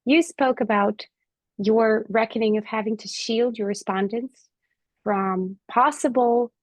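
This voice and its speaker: A woman's voice: on the high side, fairly even intonation, unhurried, no room sound. Woman moderator, dry close sound. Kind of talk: chairing a Q&A.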